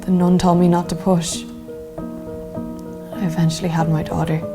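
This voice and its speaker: Casting: actress as wronged girl, 17, lowish creaky voice, subdued, halting testimony drama, music behind. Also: flat delivery, lightly acted. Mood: subdued, hurt. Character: frightened, wronged seventeen-year-old girl recalling home birth. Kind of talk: dramatised first-person testimony.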